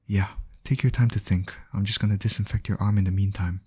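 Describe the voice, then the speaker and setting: A man acting as a calm, caring medic in an ASMR roleplay, gently reassuring a patient and explaining each step. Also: lowish voice, a little fast, slight background noise, natural voice. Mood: relieved, gentle. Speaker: voice actor in roleplay audio.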